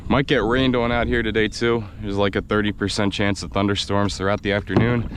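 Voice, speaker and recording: An American man with a slightly raspy, worn voice, chatting excitedly while fishing from a kayak outdoors, and laughing often. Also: fairly low pitch, a little fast, noisy background. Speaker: fishing video host. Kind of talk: casual on-the-water vlog narration. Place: outdoors on a kayak in a windy swamp.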